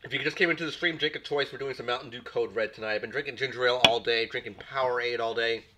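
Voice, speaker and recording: A man's voice: medium pitch, fast talker, very noisy. A man hosting a live stream in casual chat, recovering from a cold, rambling with repeated words and greeting viewers. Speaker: stream host.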